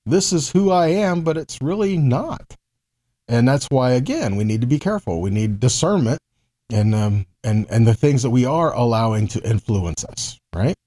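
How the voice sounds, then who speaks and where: A man's voice: mid-range voice, lively intonation, normal speed, warm tone. An American man in his forties giving a conversational Bible teaching, explaining step by step and checking in with short tags. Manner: explanatory, with frequent check-in tags like okay and right.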